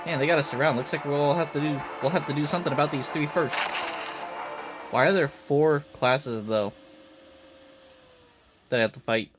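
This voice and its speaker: A man's voice: fairly high pitch, moderate pace, pauses often. A man streaming a video game with casual, offhand commentary. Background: game music.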